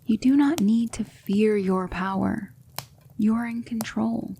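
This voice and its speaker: Female demon tutor played by an actress: soft ASMR delivery, mellow with a creaky edge, patient, gently commanding. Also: mid-range voice, slight background noise. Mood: calm, reassuring. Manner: measured, instructive, reassuring, with hushed breathy dips. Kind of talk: soft-spoken ASMR roleplay.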